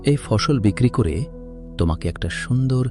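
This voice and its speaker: A man's voice: medium pitch, lively intonation, normal speed, close to an everyday voice. A man playing a poor, honest, hardworking farmer in a cartoon fairy tale, speaking earnestly and plaintively. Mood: hopeful, gentle. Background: music.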